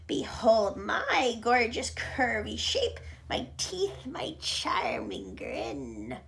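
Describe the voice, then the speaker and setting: A woman's voice: on the high side, huge pitch swings, drawn-out words, vocal fry, fairly bright tone, full character voice. Woman doing a sneering cruel old aunt. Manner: sneering, snappish.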